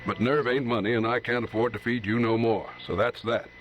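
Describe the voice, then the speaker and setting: An actor playing a gruff, exasperated frontier eatery owner short on money, in a 1950s radio drama. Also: male voice, mid-range voice, steady tone, brisk, highs cut off, slight background noise, lightly acted. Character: grumbling, hard-up frontier eatery owner, middle-aged man. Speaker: restaurant owner.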